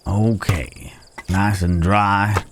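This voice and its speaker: A man playing a low, unhurried cowboy drifter. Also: fairly even intonation, noisy background. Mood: musing, content. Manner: folksy, rambling, murmured asides to horse and companion. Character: gruff, wry, caring cowboy stranger, adult man. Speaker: ASMR roleplay voice actor. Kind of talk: ASMR Wild West roleplay.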